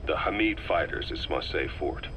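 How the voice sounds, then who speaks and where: An actor playing a stern military intelligence officer in a video game, asking terse, matter-of-fact questions. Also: male voice, a bass voice, expressive, normal speed, gravelly, background noise, exaggerated voice. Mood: probing, terse. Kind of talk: dramatic game voice acting, interrogating dialogue.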